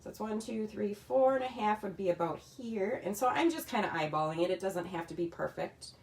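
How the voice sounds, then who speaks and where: A woman with a slightly raspy voice, chatting casually as she demonstrates a scrapbooking kit in her home craft room. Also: mid-range voice, animated, fairly quick. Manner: chatty, talks while working with her hands.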